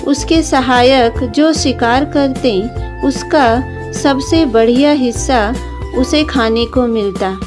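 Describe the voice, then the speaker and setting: A woman with a high voice tells a children's story at a slow, deliberate pace. It is scripted narration, with music playing clearly behind her. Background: background music.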